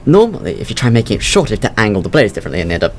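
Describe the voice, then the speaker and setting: A man's voice: on the low side, wildly swooping pitch, rapid-fire, a little hiss or hum. An actor in an audio drama playing a nervous, fragile young engineering student, hesitant and awkward, stammering as he speaks. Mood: shyly keen. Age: young adult.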